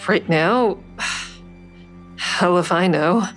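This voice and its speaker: A woman's voice: on the low side, very slow, a bit bright, faint music behind. A woman playing a wary, stubborn mother who narrates her risky investigation in the first person in an audio drama. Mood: guarded, uneasy.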